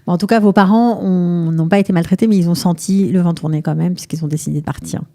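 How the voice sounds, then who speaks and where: A woman hosting a radio interview, brisk and probing, who pushes back on her guest's answers. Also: fairly low pitch, fairly even intonation, mellow. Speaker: radio host. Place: radio studio. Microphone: studio microphone.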